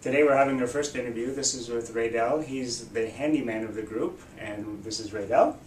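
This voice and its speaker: A man's voice: a little fast, a little mellow. A man interviewing a tradesman for a local business group, speaking casually and putting in frequent short affirmations. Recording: roomy, distant mic, background rumble.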